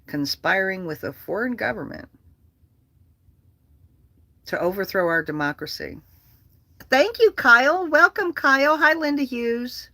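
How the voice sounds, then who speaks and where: A livestream host chatting casually and rambling, with an animated, profane manner and a habit of repeating phrases. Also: medium pitch, measured pace, pauses often, no echo.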